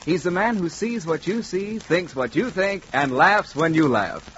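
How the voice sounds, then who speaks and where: A man's voice: high-pitched, lively intonation, a little slow. A man delivering a scripted, declamatory sponsor announcement as a 1940s radio announcer. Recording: very noisy, muffled 1940s radio recording.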